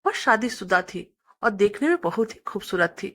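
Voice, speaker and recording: A woman's voice: mid-range voice, animated, moderate pace, fairly bright tone. A woman reading a scripted first-person story as a narrator.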